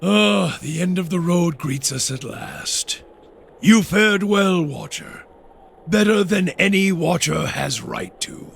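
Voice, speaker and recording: Grim fantasy game zealot: slow gravelly preaching that swings widely in pitch. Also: male voice, expressive, a little hiss or hum, full character voice. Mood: grudging respect. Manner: slow, theatrical, preacher-like pronouncements. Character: grim, zealous, embittered wandering priest of fire. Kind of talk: video game character acting.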